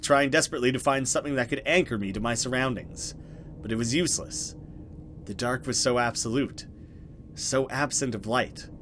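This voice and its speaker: Guy doing a lets play, mid pitch, dramatic narration of a horror game, slight background noise. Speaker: let's play host. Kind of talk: dramatic reading of a horror game with casual commentary.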